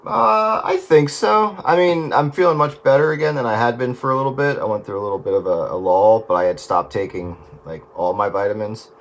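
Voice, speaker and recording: A man's voice: medium pitch, fairly quick, hardly any pauses, slight background noise, rough recording. A man chatting casually over the radio, rambling and correcting himself as he goes.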